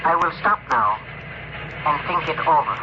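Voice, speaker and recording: Gentle, formal alien officer played by a man, higher male register, deliberate and melancholy, muffled 1950s radio drama sound. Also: expressive, lightly acted. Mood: regretful, guarded. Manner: stilted, deliberate, word-by-word phrasing. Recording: noisy, muffled old radio recording.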